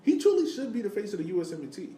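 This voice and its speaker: Roomy, higher-pitched American man chatting sports. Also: very animated, rapid-fire, dark tone. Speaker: sports podcast co-host. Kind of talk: casual soccer discussion. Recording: roomy, distant mic, faint noise.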